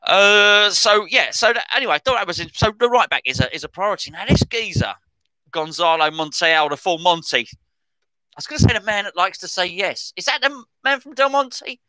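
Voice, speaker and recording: A middle-aged man hosts a casual football podcast, rambling and correcting himself as he goes. His voice is bright and cutting and sits a little above the usual pitch for a man. The recording is dry, with no room sound. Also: animated, normal speed, dry recording, rough recording. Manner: chatty, rambling, self-correcting. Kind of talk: casual commentary on transfer news.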